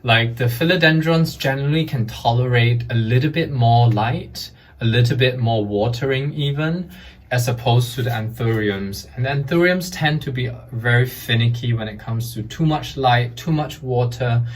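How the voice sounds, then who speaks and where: A man from Malaysia, a guest calmly explaining things while showing his plant shelves at home. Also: mid-range voice, normal speed. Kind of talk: casual home tour explanation.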